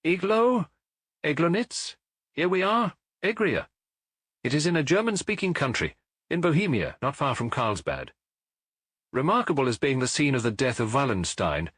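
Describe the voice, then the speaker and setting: A man voicing a keen, self-assured Victorian gentleman detective in an audiobook, with a British accent and an incisive, didactic manner. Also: medium pitch, breathy edge, no echo, clean studio sound, voice pushed up. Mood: excited, triumphant. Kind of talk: audiobook character voice.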